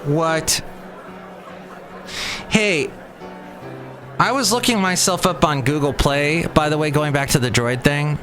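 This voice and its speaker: A man's voice: fairly high pitch, takes it slowly, long pauses, fairly bright tone, faint music behind. Rambling chatty male podcast host. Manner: rambling, chatty, self-interrupting. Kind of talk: casual solo podcast chat.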